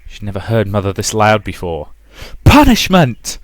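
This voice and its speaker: Low-pitched man narrating a visual novel. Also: moderate pace, dry recording. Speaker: let's play host.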